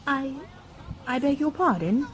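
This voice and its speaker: A woman's voice: high-pitched, lively intonation, a little slow, a little hiss or hum. A woman playing a genteel, flustered charity lady in a theatrical radio drama, hesitant and polite. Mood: wary, flustered.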